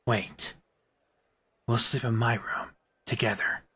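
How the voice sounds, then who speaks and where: A man voicing a brooding, aloof hedgehog anti-hero in a cartoon comic dub, terse, cold and deadpan, with gravel in the voice. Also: mid-range voice, slow pace, heavy background noise, natural voice. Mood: cold, curt. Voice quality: husky, gravelly. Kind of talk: fan comic dub acting.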